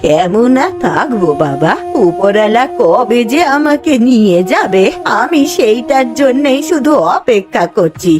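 A woman voicing a weary, ailing old aunt in a cartoon, with slow, drawn-out, plaintive speech. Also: fairly high pitch, sing-song, over music. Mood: weary, resigned. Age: older. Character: sick, weary old aunt pleading from her bed. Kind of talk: Bengali cartoon voice acting.

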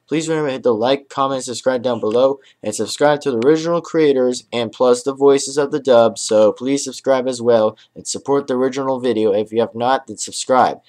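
A man's voice: mid-range voice, a little mellow. A young adult man hosting a casual reaction video, talking in a rambling, repetitive way in a small room. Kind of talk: casual reaction commentary to camera. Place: small dim bedroom, indoors.

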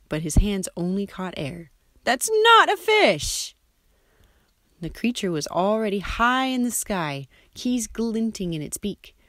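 A woman's voice: fairly low pitch, no echo. A woman reading a scripted short story aloud as a storyteller. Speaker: storyteller, shop assistant presenter.

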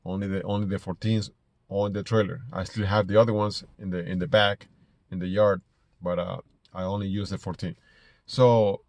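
A middle-aged man from Ecuador with an Ecuadorian Spanish accent, telling stories casually to camera in a halting way, repeating words as he goes. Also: fairly low pitch, no room sound. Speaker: small business owner vlogger. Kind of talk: casual personal storytelling to camera. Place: inside a parked car.

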